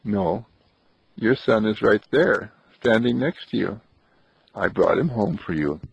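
A gentle, brave boy in a wheelchair, voiced by a man, speaking low, soft and very slowly in soothing reassurance. The voice is gravelly and husky, on a dull lo-fi recording. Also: animated, no room sound, heavily acted. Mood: tender.

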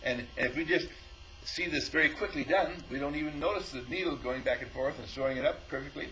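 A man's voice: on the high side, a little fast, slight background noise, poor audio. A man giving an informal spiritual talk as a teacher, telling stories and acting out both sides of conversations with frequent chuckles. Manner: animated storyteller, acts out dialogue, chuckles often.

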